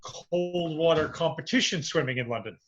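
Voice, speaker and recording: A man hosting a casual interview over a video call, asking questions in a relaxed, joking way. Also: on the high side, normal speed, lo-fi sound. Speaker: host.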